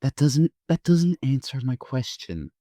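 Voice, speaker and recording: A man voicing a confused, desperate young man in an audio story, halting and pleading. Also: medium pitch, sing-song, warm tone, dry recording, clean studio sound.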